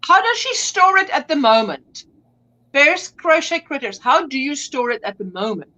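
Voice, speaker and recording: High, lively woman with an Afrikaans accent. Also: moderate pace, rough recording. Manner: chatty, searches for words and restarts. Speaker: guest. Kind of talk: casual livestream chat.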